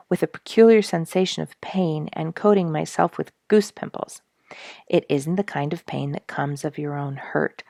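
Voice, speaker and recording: A woman narrating an animal story as an audiobook, expressively, with dramatic delivery that dips into hushed tones. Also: low voice, gravelly, no echo, studio quality. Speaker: storyteller, show regular.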